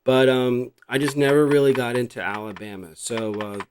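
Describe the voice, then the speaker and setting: A middle-aged American man with a mid-pitched voice hosts in a casual, unscripted way. He rambles reflectively, thinking out loud as he goes. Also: moderate pace, slight background noise. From New Jersey, United States. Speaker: host showing a cassette collection. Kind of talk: casual unscripted vlog chat.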